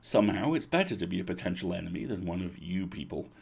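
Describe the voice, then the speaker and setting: Guy reading a young girl telling her storybook tale, earnest n uneasy, bit raspy. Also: low voice, expressive, fast talker, darkish tone, exaggerated voice. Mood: wry relief. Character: Mona, earnest young baker wizard girl narrator.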